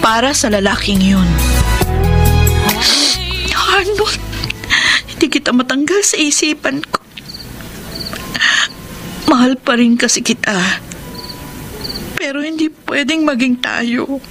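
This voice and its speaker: A woman's voice: high voice, animated, slow pace, long pauses. An actress playing a secretive, conflicted woman pushing away an ex-lover in a Filipino radio drama. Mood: wistful. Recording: music under the voice.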